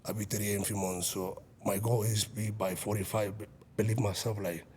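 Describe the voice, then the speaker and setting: A man's voice: on the low side, little pitch movement, fairly quick, a little mellow, slight background noise. A man in his late thirties, an executive protection agent and business owner, talking earnestly in a casual podcast chat with frequent restarts. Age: 30s.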